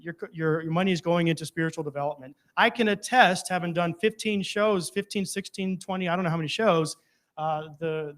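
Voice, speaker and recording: A man with a somewhat high voice gives an informal testimonial to a live audience. He talks very quickly and in a chatty way. His manner moves between joking and earnest. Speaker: guest speaker, alumnus performer of the theater.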